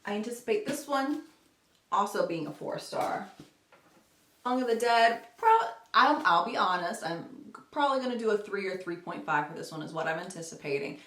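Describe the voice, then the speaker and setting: A woman speaks casually to camera as a book vlogger, rambling and correcting herself along the way. Her mid-pitched voice moves up and down with lots of animation. The sound is roomy, with noticeable echo around her words. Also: lively intonation, moderate pace, fairly bright tone. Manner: chatty, rambling, self-correcting. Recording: roomy, echoey home recording.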